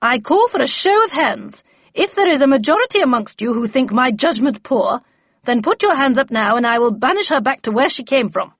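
A woman's voice: a little high, lively intonation, moderate pace, breathy edge, dry recording, pitched above the performer's own voice. A haughty, commanding woman mentor with a fierce temper, played by a woman narrator in a storybook reading. She speaks imperiously, in a dry and slightly dull recording. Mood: fierce, thunderous.

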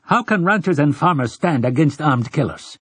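A man voicing a cautious, skeptical rancher with a foreign accent and a measured, questioning manner in an audiobook. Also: fairly high pitch, animated, a bit bright, husky, no room sound, pitched above the performer's own voice. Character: cautious, skeptical male rancher challenging the priest.

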